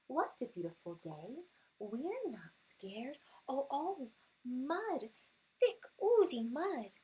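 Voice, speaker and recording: High-pitched, very animated woman reading to children. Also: slow pace, slightly rough, poor audio. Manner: expressive, with sound words, gasps and questions to listeners. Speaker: storyteller reading a picture book to small children. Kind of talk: animated read-aloud with asides to young listeners.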